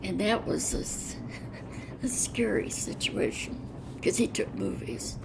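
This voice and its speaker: An elderly American woman with an aged, creaky voice recalls her life in a halting, plain-spoken way, her phrases often trailing off. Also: medium pitch, big pitch swings, noisy background. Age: 80s or older. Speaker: retired railroad worker. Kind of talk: oral history reminiscence.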